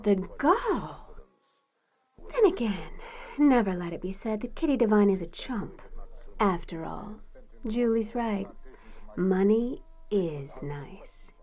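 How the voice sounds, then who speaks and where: A woman's voice: medium pitch, sing-song, slow, pauses often, a little mellow. A woman playing a cunning, playful lady cat burglar in a radio drama, arch and theatrically coy. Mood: mock innocence. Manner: arch, theatrically mock-innocent. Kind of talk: radio drama acting and narration.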